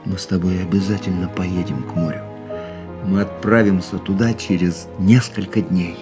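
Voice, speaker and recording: An actor playing an ardent, reflective racing driver in a radio drama, speaking in an intimate, searching, romantic manner. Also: male voice, low-pitched, creaky, mellow, exaggerated voice. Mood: earnest, tender. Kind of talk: radio play acting.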